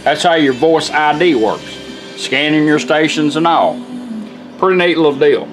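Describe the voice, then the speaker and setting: A man's voice: on the high side, lively intonation, normal speed, music in the background. A man giving an informal, folksy product demonstration, explaining how things work as he goes. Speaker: radio restorer demonstrating a product.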